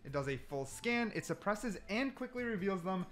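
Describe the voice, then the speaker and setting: Animated, higher-pitched male gaming host. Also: moderate pace, soft background music. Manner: animated, repeats phrases for emphasis. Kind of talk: casual gameplay commentary.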